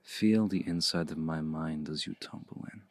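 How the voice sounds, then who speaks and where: A man playing a seductive, commanding male vampire in an intimate ASMR roleplay, speaking slowly and deliberately in a hushed, breathy voice close to the mic. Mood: menacing, hypnotic. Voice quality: low, gravelly, breathy. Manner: slow, deliberate, hushed, often breathy near-whisper. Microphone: close mic, dry.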